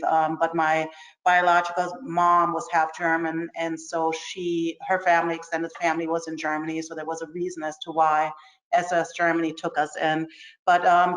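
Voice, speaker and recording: A woman's voice: lowish voice, fairly bright tone, rough recording. Woman from Senegal speaking evenly. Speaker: alumna guest. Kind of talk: casual webinar storytelling. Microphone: video call.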